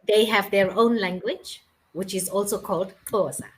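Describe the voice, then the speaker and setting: A woman's voice: mid-range voice, steady tone, moderate pace, rough recording. A South African woman tutoring English on a video call, explaining patiently and checking that she is understood. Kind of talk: casual video-call conversation.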